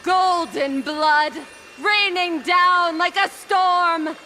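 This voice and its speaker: Very high, bright young priestess. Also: female voice, fairly even intonation, very slow, faint music behind, full character voice. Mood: awed, fervent. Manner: declamatory. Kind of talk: dramatic game voice acting.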